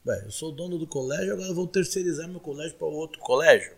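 A man's voice: on the high side, rapid-fire, breathy edge. A man in his forties with a São Paulo Brazilian accent, answering interview questions as a candidate in an emphatic, repetitive, oratorical way. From Guarulhos, São Paulo, Brazil. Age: 40s. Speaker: political candidate being interviewed.

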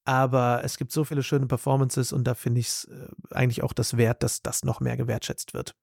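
A man's voice: medium pitch, level tone, fairly quick, creaky, a little mellow, no echo, clean studio sound. A male voice actor and dubbing director in a casual interview, speaking animatedly and thinking aloud with plenty of fillers.

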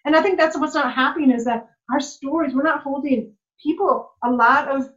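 A woman's voice: sits high, brisk, fairly bright tone, dull audio. Impassioned woman life coach. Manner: impassioned, repetitive, many "like"s. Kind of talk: casual conversation.

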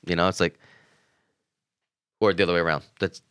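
A man co-hosting a podcast, chiming in with quick agreement during a casual faith discussion. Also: low-pitched, fairly even intonation, a bit bright, clear, resonant tone, dry recording, clean studio sound. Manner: quick to agree, lots of interjections. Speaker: podcast co-host.

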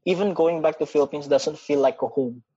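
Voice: mid-range voice, fairly quick, mellow, breathy edge, lo-fi sound. A speaker from Indonesia with American-leaning English, a school English program coordinator talking things over reflectively in a casual chat. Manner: reflective, self-questioning.

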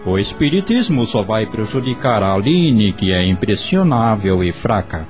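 Confessor priest: paternal, admonishing, dramatic pitch swings, clear music underneath. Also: male voice, very animated, normal speed. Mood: solemn warning. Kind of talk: radio soap opera acting.

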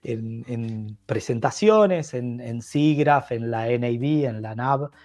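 A man's voice: mid-range voice, takes it slowly, darkish tone. A middle-aged man from Brazil, a Portuguese speaker, talking enthusiastically as an interview guest and often restarting his sentences. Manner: enthusiastic, rambling with frequent restarts. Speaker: podcast guest. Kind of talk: casual interview conversation.

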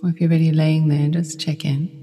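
A woman gently guiding a yoga nidra meditation in a soothing voice that often trails into a whisper. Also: deep voice, little pitch movement, a little fast, slight background noise. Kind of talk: guided meditation. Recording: long reverb with meditation music behind.

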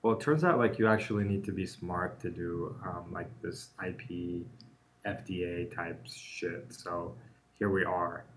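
Low-voiced joking male podcast host. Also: unhurried. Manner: joking storyteller, laughs often. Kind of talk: casual chat.

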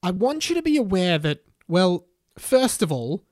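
Man putting on a slow, portentous wizard teacher, raised pitch. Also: sing-song, dry recording, studio quality. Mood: portentous, commanding. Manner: loud, deliberate, declamatory.

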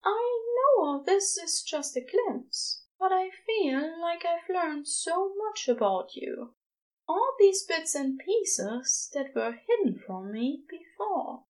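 A woman voicing a gentle, earnest male angel in a story, softly spoken and full of fond wonder. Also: very high-pitched, big pitch swings, slow pace, pitched above the performer's own voice, exaggerated voice, low-quality recording. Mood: soft gratitude. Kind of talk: podfic character dialogue.

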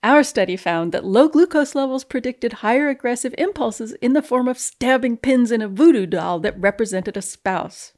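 A woman's voice: a little high, lively intonation, moderate pace, hardly any pauses, darkish tone. A woman reading formal scientific text aloud in a precise, straight-faced manner. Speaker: guest reader, scientist. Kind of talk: dramatic reading aloud of a research paper.

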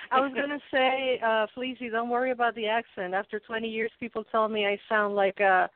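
A woman's voice: a little high, fairly even intonation, moderate pace, no echo. A woman co-hosting an interview, adding upbeat remarks with laughter. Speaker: co-host. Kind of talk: casual interview chat. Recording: phone-like line, dry.

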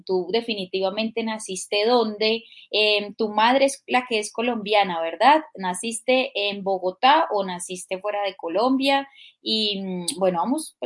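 A woman co-hosting a casual podcast interview, chatty and polite, asking questions with many fillers. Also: medium pitch. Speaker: co-host.

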